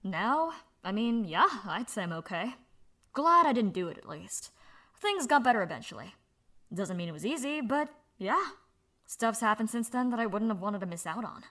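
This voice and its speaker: Woman doing a soft halting young woman, confessional, mid-pitched. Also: big pitch swings, heavily acted. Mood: somber. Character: young woman, subdued, candid. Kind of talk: fan audio drama acting.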